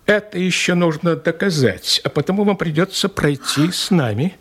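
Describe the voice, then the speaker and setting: A formal police inspector with a husky, rough-edged voice. He speaks in official, deferential phrases with plenty of rise and fall, as in a radio play. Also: fairly high pitch, lively intonation, normal speed, a bit bright. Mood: stern, official. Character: formal, dutiful male police detective inspector. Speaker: actor in an audio play. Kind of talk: Russian radio drama acting.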